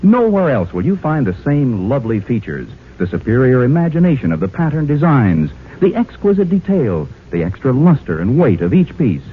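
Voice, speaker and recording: A man's voice: medium pitch, big pitch swings, moderate pace, few pauses, breathy edge, phone-quality sound. A man announcing a 1940s radio commercial in a polished, persuasive sales delivery on an old radio recording. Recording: thin band-limited old radio recording.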